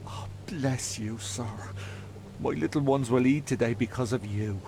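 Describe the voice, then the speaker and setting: A man's voice: mid-range voice, unhurried, creaky, background noise. An actor voicing a poor, humble family man in a video game, stammering and deferential like a desperate beggar. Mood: relieved, grateful. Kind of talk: video game cutscene dialogue.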